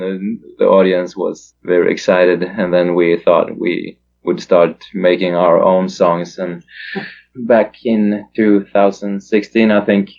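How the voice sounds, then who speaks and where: Low flat-voiced Swedish man. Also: vocal fry, dull audio, a little hiss or hum. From Sweden. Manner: hesitant, lots of uh fillers. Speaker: musician guest. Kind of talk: casual phone interview.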